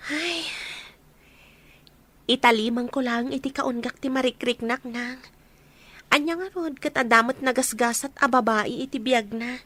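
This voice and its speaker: An actress playing a sweet young woman in a radio drama, speaking gently and earnestly. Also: on the high side, a little slow, long pauses. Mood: shy.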